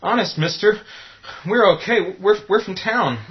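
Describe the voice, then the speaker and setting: A man's voice: high-pitched, unhurried. A man playing a polite, placating young survivor in a horror radio play, speaking in a conciliatory way. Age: young adult. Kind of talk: radio horror drama acting. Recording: dull lo-fi audio.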